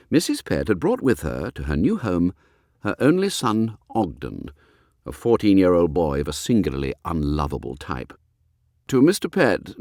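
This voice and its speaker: A man narrates a comic novel with great expression. His voice is fairly low, yet it swoops widely from phrase to phrase. The sound is dry, with no room echo. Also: a little mellow. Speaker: audiobook narrator.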